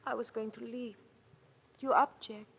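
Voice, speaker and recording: A woman's voice: fairly high pitch, fairly even intonation, a little slow, vocal fry, husky, full character voice. An actress playing a cool, guarded young refugee woman with a foreign accent in a 1940s radio crime drama. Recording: old radio recording with faint noise.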